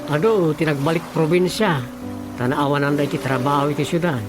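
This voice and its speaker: A man's voice: fairly high pitch, a little fast, natural voice. A man with an Ilocano accent playing a folksy, chatty village elder in a radio comedy-drama. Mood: concerned, chatty. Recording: music behind the voice.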